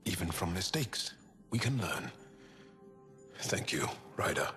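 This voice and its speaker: An actor playing a grieving, thoughtful alien resistance fighter in a video game, speaking haltingly and softly over music. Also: male voice, low-pitched, very animated, exaggerated voice. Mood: solemn hope. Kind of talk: video game cutscene acting. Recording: music under the voice.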